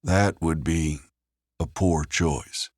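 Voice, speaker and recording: Man playing a cold, low-voiced, enigmatic stranger. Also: no echo, clean studio sound. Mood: cold, controlled. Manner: measured, formal, chilling. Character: cold, enigmatic, soft-menacing American man of few words.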